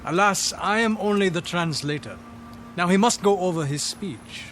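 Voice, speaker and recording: A man's voice: high voice, normal speed, a bit bright, faint music behind. A man playing a formal, courteous translator for a foreign dignitary in an audio drama, with a stiff manner. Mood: formal, guarded.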